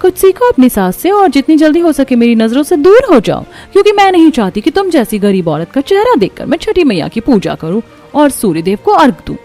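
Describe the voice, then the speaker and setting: A woman's voice: high-pitched, big pitch swings, a little fast, mellow, soft background music. A woman voicing a haughty, spiteful rich young wife in a cartoon story, scornful and demanding. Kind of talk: Hindi cartoon moral story dialogue.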